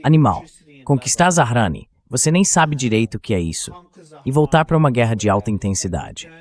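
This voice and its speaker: A man's voice: medium pitch, sing-song, brisk, darkish tone. A man with a Brazilian Portuguese accent, a synthetic voice-over for a conversational news discussion.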